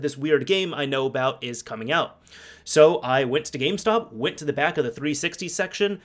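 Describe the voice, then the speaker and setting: A man talking casually to viewers as a video game YouTuber, conversational and emphatic, repeating points for stress. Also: fairly high pitch. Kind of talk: casual video commentary with personal anecdotes.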